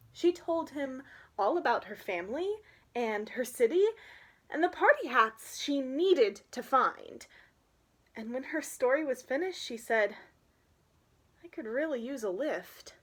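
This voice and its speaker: A woman's voice: sits high, fairly bright tone. A young woman reading a children's picture book aloud, with chatty asides to her listeners. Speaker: storybook reader.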